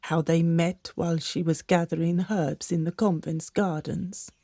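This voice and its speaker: A woman's voice: fairly low pitch, fairly even intonation, a little slow, dark tone, dry recording, clean studio sound. A woman playing an older gossiping servant in a radio drama, secretive, theatrical and conspiratorial. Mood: confiding.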